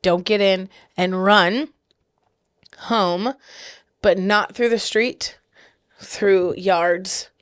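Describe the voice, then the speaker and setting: A woman's voice: mid-range voice, fairly even intonation, drawn-out words, long pauses, clear, resonant tone, no room sound, clean studio sound. A woman co-hosting a casual podcast with her partner, chatty and giggly, sounding slightly stuffy from blocked sinuses. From big city. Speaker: podcast co-host. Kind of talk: casual chat.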